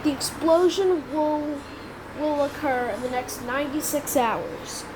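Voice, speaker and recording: A woman's voice: sits high, fairly even intonation, unhurried. A woman voicing an emergency alert announcer, reading out an improvised warning with frequent restarts and self-corrections over noisy game audio. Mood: urgent.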